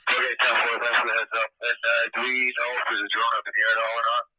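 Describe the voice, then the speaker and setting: A man talking on an emergency radio channel during a search, halting and full of fillers. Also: medium pitch, little pitch movement, pushed and bright, husky, no room sound, rough recording. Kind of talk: radio dispatch chatter.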